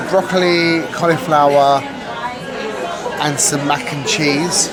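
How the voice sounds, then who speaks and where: Chatty woman reviewing dinner, loud crowd. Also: sits low. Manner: chatty, frank, lightly joking. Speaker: vlogger. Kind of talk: casual food review. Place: busy restaurant. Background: restaurant crowd chatter.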